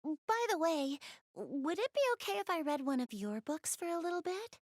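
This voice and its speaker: A woman playing a gentle, shy, bookish young girl in video game dialogue, soft-spoken and earnest. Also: high-pitched, sing-song, normal speed, no room sound, clean studio sound. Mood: shy, curious. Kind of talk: video game cutscene dialogue, addressing the listener.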